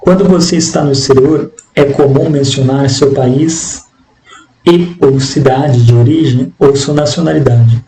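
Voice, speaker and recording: A man with a Brazilian accent teaching an English lesson, reading material aloud and explaining it in a deliberate, didactic manner. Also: medium pitch, dark tone. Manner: deliberate, didactic, repeating phrases in translation. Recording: echoey room with faint background noise.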